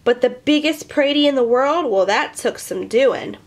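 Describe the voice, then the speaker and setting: A woman's voice: high-pitched, moderate pace. A woman voicing a lazy, boastful, complaining peasant husband in a storybook tale.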